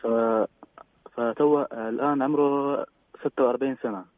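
A man calling in by phone, asking a string of questions politely and hesitantly, with many pauses and fillers. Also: medium pitch, fairly even intonation, no room sound. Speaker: caller. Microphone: telephone. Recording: dry tinny phone line.

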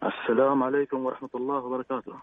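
A man comes through a thin, phone-like line with a dry sound. He talks quickly and politely, stumbling over his words and filling the gaps with "uh". His pitch sits in the middle range. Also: level tone, no room sound. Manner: polite, hesitant, many fillers. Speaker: caller. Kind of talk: phone-in question. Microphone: telephone.

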